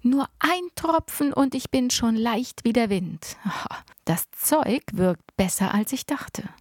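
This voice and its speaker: A woman voicing a cheerful, eccentric old grandfather inventor, reading him aloud with playful excitement from a children's storybook. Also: fairly high pitch, takes it slowly, no room sound, studio quality, pitched above the performer's own voice. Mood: gleeful.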